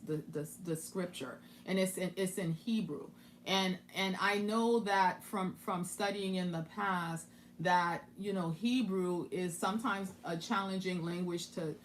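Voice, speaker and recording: Woman musing through scripture, lower voice. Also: slight background noise. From Haiti. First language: French. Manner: thinking aloud, with many restarts and asides. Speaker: Bible teacher. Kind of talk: casual Bible study commentary.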